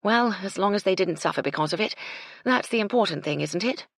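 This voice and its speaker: A woman voicing a gracious, shrewd lady proprietor who speaks politely with a gently wry edge. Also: medium pitch, expressive, a little fast, dry recording, clean studio sound, voice pushed up.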